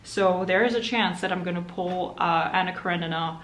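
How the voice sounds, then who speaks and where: A young woman whose first language is German talks chattily about her reading in an upbeat vlog, her voice sitting a little low. She is recorded in an echoey room at home, with a faint rumble behind her. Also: normal speed, a bit bright. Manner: chatty, upbeat, with light laughs. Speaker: book vlogger.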